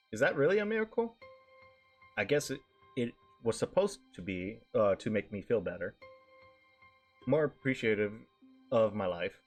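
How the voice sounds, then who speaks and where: A male streamer reading a visual novel aloud with casual asides, stumbling over words and swearing casually. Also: medium pitch.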